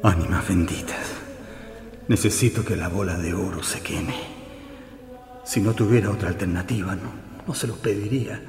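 An absent-minded, devout shopkeeper with a Chilean accent, anxious and pleading in radio drama style. His voice is lowish and creaky, in a reverberant space with music behind. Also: moderate pace. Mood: fervent pleading.

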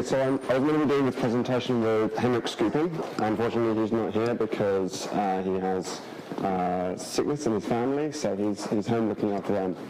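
A man in his thirties giving a technical conference talk in a large lecture hall, relaxed, with many fillers and restarts. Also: on the low side, noisy background. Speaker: conference speaker.

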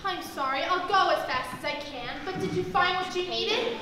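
Very high, bright teenage cashier girl played by a woman. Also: normal speed, far from the mic. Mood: polite, insistent. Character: eager, polite teenage girl, new cashier. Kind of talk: amateur stage comedy acting. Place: theatre stage, distant camera. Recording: very echoey stage, faint noise.

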